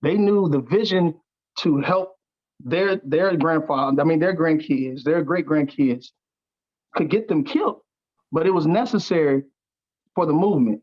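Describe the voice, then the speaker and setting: A man with a slightly high voice gives reflective interview answers. He is earnest and halting, repeating words as he searches for the next. The recording is a little dull and lo-fi. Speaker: stage play cast member, interview guest.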